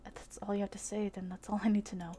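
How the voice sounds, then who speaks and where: A woman voicing an easygoing male friend while reading a visual novel aloud, softly and in a relaxed manner. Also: mid-range voice, quick pace, slight background noise, close to an everyday voice. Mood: gentle, caring. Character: easygoing, teasing male friend named Druk.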